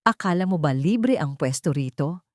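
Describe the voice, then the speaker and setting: A woman's voice: low voice, animated, moderate pace, dry recording. A woman narrator plays a bullying male extortionist in an audiobook drama. He talks in threats and demands. The sound is dry and close. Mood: threatening. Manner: barking, threatening. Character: menacing male syndicate thug, mocking extortionist.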